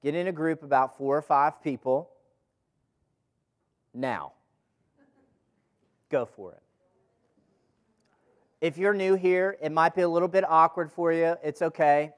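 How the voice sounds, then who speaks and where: Man, slightly high voice, informal Bible teacher, slangy and conversational, repeats phrases for emphasis, rhetorical questions. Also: a little slow, pauses often. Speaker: Bible teacher leading a group study. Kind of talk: informal teaching sermon with scripture reading. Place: room with a group gathered.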